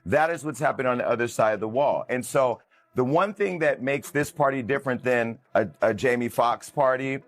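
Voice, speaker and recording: A man's voice: mid-range voice, brisk. A man casually telling a personal anecdote.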